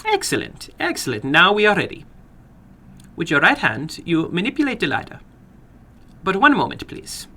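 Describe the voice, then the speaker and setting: A man voicing a funny, sinister little foreign gambler in a horror story, brisk and courteous, with precise, stilted non-native phrasing. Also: high voice, wildly swooping pitch, sharp tone, voice pushed up, exaggerated voice. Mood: precise, commanding. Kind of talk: dramatic horror story reading.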